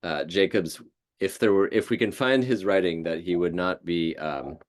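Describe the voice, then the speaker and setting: A man giving a considered, hesitant explanation as a guest lecturer answering questions after a talk. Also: low-pitched. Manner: thoughtful, hesitant, measured. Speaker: guest speaker, historian.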